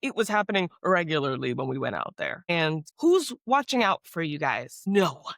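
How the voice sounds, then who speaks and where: Brief: podcast co-host, woman, very lively pitch, rambling casual delivery, dry close sound. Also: moderate pace, gravelly, no room sound. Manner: rambling, trails off and restarts thoughts.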